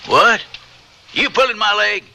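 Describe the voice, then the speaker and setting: An actor playing a brash, folksy, teasing cowboy with an American West accent and drawling slang, in an old-time radio western. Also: male voice, high-pitched, measured pace, gravelly, sharp tone, heavily acted. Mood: incredulous. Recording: noisy radio drama recording.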